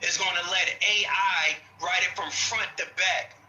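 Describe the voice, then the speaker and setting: A man's voice: high voice, steady tone, normal speed, pushed and bright. A man in casual heated debate, talking slang and calling everyone bro. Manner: slangy, keeps saying bro. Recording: echoey room, faint noise.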